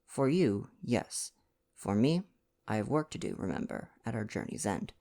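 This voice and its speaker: A man voicing a grave, measured little detective from a storybook, speaking slowly and deliberately. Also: fairly low pitch, lively intonation, gravelly, mellow. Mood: grave foreboding.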